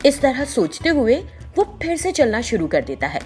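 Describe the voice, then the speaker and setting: Animated woman storyteller over music. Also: on the high side, normal speed, husky. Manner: expressive storytelling. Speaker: narrator. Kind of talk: scripted children's story narration.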